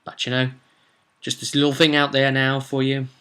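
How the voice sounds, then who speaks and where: Bright-voiced male game master telling a story. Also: medium pitch, little pitch movement, moderate pace, clean tone. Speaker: game master and vidcast host. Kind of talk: casual recap narration of a tabletop roleplay story.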